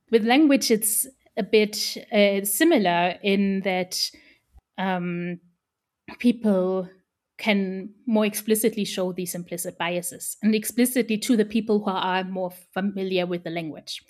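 A woman's voice: medium pitch, unhurried. German-native woman explaining her research. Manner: thoughtful, many fillers and restarts. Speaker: interview guest, researcher. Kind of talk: casual academic interview.

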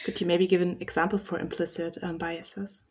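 Flat, low-pitched woman interviewer. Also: brisk, slightly rough. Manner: polite, hedging questions. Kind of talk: interview questions.

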